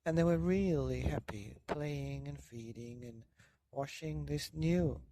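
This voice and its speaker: A man narrating a bedtime story for a podcast, deliberate, with dramatic pauses. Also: a little high, warm tone, dry recording.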